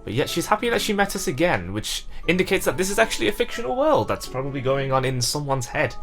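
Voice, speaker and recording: A man's voice: a little high, sing-song, quick pace, a bit bright. A man giving an informal, conversational visual novel review with wry asides. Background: music.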